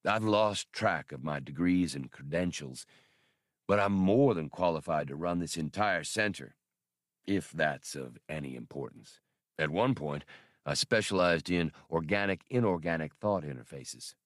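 A man voicing a courteous, grave older scholar in an audiobook, with formal, deliberate wording. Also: low-pitched, lively intonation, no echo, studio quality. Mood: calm, dignified. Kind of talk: audiobook character dialogue.

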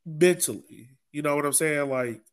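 A man's voice: a little high, normal speed. A man hosting a casual sports podcast discussion, talking informally and conversationally with his co-hosts. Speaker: podcast host.

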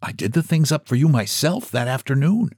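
A kind-faced elderly storekeeper, voiced by a man reading aloud, who talks slowly and gently. The voice sits a little higher than the reader's own, with plenty of rise and fall, and the recording is dry and close. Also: sing-song, slightly rough, no room sound. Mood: wondering. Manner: slow and gentle.

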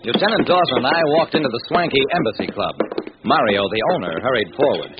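A man's voice: mid-range voice, expressive, fast talker, dull audio, close to an everyday voice. An actor playing a hard-boiled detective, narrating his case in clipped, dramatic 1940s radio style on a noisy old recording. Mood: dramatic, tense. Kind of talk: 1940s radio acting, first-person crime narration.

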